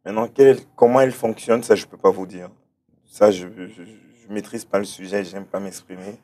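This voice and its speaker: A man's voice: on the low side, steady tone, moderate pace, mellow. A man from Cameroon answering interview questions, hesitant and often repeating words as he thinks aloud. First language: German-speaking background. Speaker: interview guest, start-up founder.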